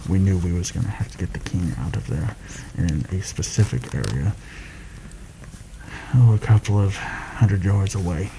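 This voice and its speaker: A man playing a shrewd, secretive mercenary planner in a soft-spoken ASMR fantasy roleplay, confiding and deliberate in a slightly formal way. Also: background noise. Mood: explaining, serious. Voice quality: low, gravelly, soft-spoken. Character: shrewd, secretive male mercenary plotting a royal assassination.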